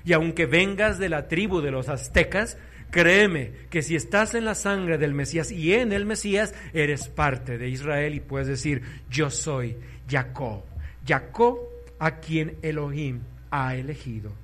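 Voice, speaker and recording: A man preaching a sermon, fervent, swinging between soft and loud, urging listeners to repeat after him. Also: on the high side, measured pace.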